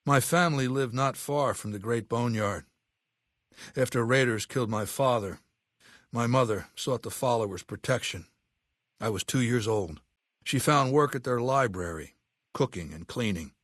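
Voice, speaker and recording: An actor playing an imperious, cerebral middle-aged warlord in a video game, speaking with deliberate, disdainful authority. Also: male voice, medium pitch, fairly bright tone, no echo, clean studio sound, close to an everyday voice. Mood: reflective, wry. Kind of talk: video game character dialogue.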